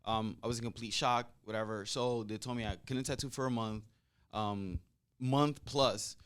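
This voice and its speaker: A man's voice: lowish voice, fairly even intonation, normal speed, fairly bright tone, clean tone. Young guy hosting a shop podcast, loose and swearing a lot, laughs a bunch. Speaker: podcast host, tattoo artist. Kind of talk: casual chat. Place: tattoo shop room. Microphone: handheld mic.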